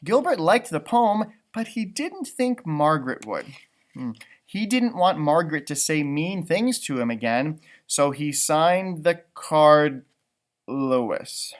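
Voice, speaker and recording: A man giving an expressive children's storybook read-aloud, adding exclamations and comments for young listeners. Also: on the high side, slow pace. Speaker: storybook reader, teacher.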